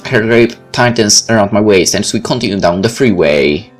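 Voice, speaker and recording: A male let's player reads visual novel text aloud. His delivery is animated and blunt, with a wide swing in pitch. Faint game music plays underneath. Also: moderate pace. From Poland. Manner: expressive, blunt, swearing. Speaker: let's play host.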